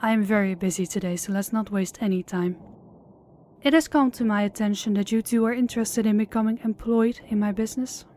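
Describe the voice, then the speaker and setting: A woman's voice: medium pitch, fairly even intonation, a little fast, darkish tone, lightly acted. A woman playing a sadistic female crime boss in an audio roleplay, speaking in a formal, controlled and menacing way. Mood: cool, businesslike.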